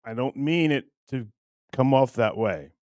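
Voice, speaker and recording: A man hosting a casual comedy show, talking straight to viewers in a halting, stop-and-start way. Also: mid-range voice, lively intonation, a little mellow, no room sound. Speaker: show host introducing a puppet bit.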